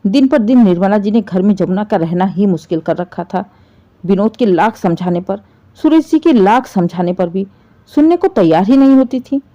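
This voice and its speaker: A woman tells a dramatic scripted story with sympathy. Her delivery is expressive, with lively swings of pitch. The timbre is mellow and relaxed, at a middle height for a female voice. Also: moderate pace, highs cut off.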